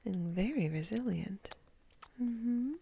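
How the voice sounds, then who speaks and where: A woman playing a doting, soothing character in a whispered ASMR roleplay, mixing breathy whispers with soft murmurs. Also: mid-range voice, expressive, takes it slowly, a little hiss or hum. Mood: tender, praising.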